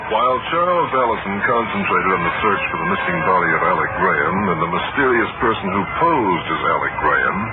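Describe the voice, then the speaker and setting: A man playing a calm, methodical lie detector examiner who narrates his case in an old-time radio drama, measured and polite. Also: low voice. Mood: narrating, serious. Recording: muffled vintage radio with music.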